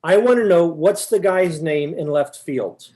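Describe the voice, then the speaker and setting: A performer acts out a confused, increasingly exasperated questioner in a stage comedy double act. The voice sits at a middle pitch and pushes insistently through each question. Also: animated, a little slow, dark tone, natural voice. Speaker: amateur readers theater performer. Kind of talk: staged comic dialogue reading.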